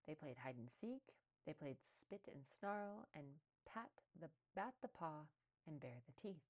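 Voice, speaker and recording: A woman's voice: sits low, animated, a little slow. A woman narrating a children's story, reading aloud from a storybook. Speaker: storybook reader.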